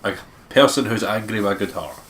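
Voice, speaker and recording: A man's voice: fairly low pitch, lively intonation, brisk, fairly bright tone. A man with a congested nose narrates a visual novel and adds casual commentary as a co-host. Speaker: let's play co-host reading visual novel aloud.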